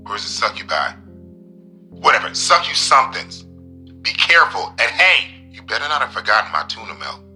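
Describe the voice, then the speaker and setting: Superstitious young male best buddy, horror comedy radio drama; very forceful, punchy voice, casual and slangy. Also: mid-range voice, big pitch swings, normal speed, faint music behind. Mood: alarmed, nagging. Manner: slangy, peppered with man and dude.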